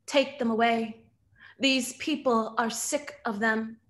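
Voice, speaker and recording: Slow, high-voiced woman reading poetry. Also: fairly even intonation, fairly bright tone. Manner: deliberate, measured phrasing. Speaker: poet reading at an online event. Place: room at home.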